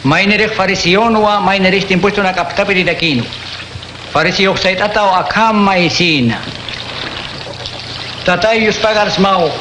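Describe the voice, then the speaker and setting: High-voiced man in a radio drama. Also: a little slow, pauses often. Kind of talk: radio drama narration and acting. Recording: echoey room with noise.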